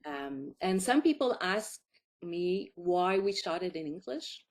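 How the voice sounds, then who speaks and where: A woman's voice: lowish voice, lively intonation, slow. A woman in her fifties from Quebec, a native French speaker with a Quebec French accent, chatting in anecdotes as a filmmaker being interviewed. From Quebec, Canada. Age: 50s. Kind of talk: casual interview.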